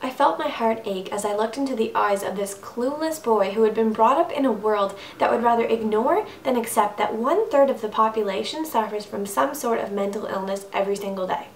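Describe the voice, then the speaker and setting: A woman's voice: a little high, a little fast, few pauses. A young adult woman performing a slam poem in an earnest, emphatic, rhythmic style. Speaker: slam poet. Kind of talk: slam poetry recitation. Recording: roomy, distant mic, faint noise.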